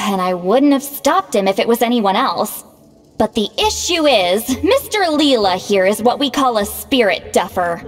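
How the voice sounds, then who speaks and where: A proud young-sounding shaman from an anime game, who explains things briskly and bossily. Her voice is fairly high and very lively, with exasperated turns. It rings in a long reverb. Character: proud, bossy, youthful-sounding female shaman called Granny. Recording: long reverb, music behind.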